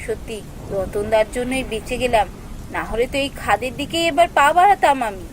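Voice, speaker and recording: A woman playing an anxious young female office worker in a cartoon story, speaking in an expressive, emotional way. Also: on the high side, brisk, noisy background, lightly acted. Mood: startled, concerned. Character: anxious young female office worker Soumi, telling her ghost encounter. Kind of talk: dramatic scripted story narration and dialogue.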